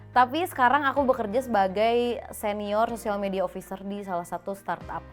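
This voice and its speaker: A woman in her mid-twenties gives light, playful answers at a middle pitch. Her manner is casual and easy. Music plays softly behind her. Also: moderate pace. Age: 20s. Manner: playful, laughs easily. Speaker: interviewee, social media officer.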